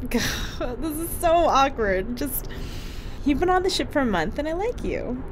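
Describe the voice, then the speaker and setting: A bubbly, teasing crewmate talks with a lively, swinging pitch and plenty of laughter. Her voice sits a little high, with steady hiss behind it. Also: a little slow. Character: flirty, chatty female crewmate. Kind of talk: audio drama acting.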